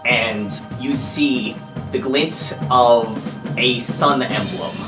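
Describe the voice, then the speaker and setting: A man's voice: medium pitch, drawn-out words. A man narrating an improvised tabletop role-playing game as game master. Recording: roomy, distant mic, music underneath.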